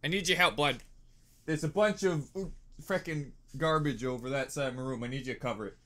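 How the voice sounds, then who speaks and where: A man in his twenties giving excitable, casual reaction commentary. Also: fairly high pitch, a little fast. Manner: excitable, exclaiming. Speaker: reaction video host. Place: cluttered bedroom indoors. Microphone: desk condenser microphone with headphones.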